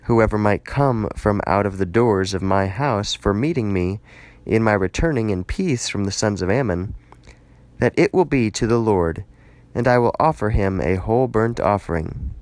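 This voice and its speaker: A man's voice: fairly low pitch, moderate pace, darkish tone, dry recording, lightly acted. A man voicing a stern, solemn Israelite leader in a scripture reading, with emphatic, declamatory phrasing. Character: stern male Israelite leader arguing his case, solemn.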